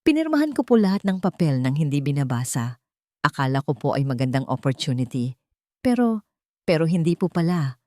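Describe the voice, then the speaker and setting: A woman's voice: low-pitched, sing-song, a little fast, no echo, clean studio sound. A woman voicing a remorseful young son. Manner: quiet, regretful.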